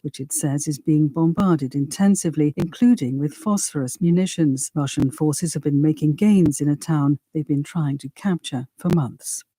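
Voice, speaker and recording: A woman delivers scripted world news with a very dark, mellow tone. The sound is dry and close, and her delivery is formal and sober. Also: low voice, dry recording. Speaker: news reader for a foreign news roundup.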